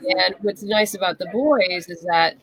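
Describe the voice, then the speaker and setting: A woman's voice: on the low side, a little slow, a crowd in the background, low-quality recording. A woman speaking as an interview guest in casual, conversational chat.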